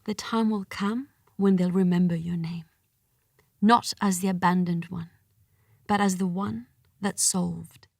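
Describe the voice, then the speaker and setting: An actress playing a solemn chorus narrator in a poetic tragedy, speaking in measured, emphatic short phrases. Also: female voice, on the low side, steady tone, creaky, darkish tone, no room sound, studio quality. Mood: reassuring. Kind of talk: poetic scripted narration, audio drama.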